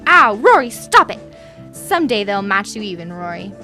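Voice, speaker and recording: Hard-edged femme fatale, bright forceful tone, coolly cutting, seductive, 1940s radio noir, music behind. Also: female voice, medium pitch, very animated, moderate pace. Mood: threatening, angry.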